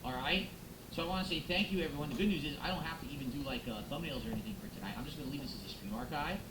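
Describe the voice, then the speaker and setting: A chatty streamer man talking casually to his viewers, thanking them and thinking aloud. His voice sits a bit high for a man. He is close on a microphone, but the sound echoes around the room over a steady hiss. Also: racing. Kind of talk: casual live-stream chat. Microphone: close XLR microphone. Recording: echoey room with background noise.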